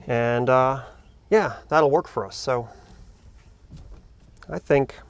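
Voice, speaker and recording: A man's voice: medium pitch, slow. A man talking casually to camera as the host of a boating video. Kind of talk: casual vlog talk to camera. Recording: noisy outdoor background sound.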